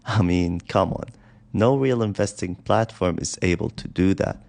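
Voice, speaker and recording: A man's voice: sits low, moderate pace, vocal fry, a little mellow. A man presenting a scripted narration as the host of a video. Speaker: host of scam-exposing video channel.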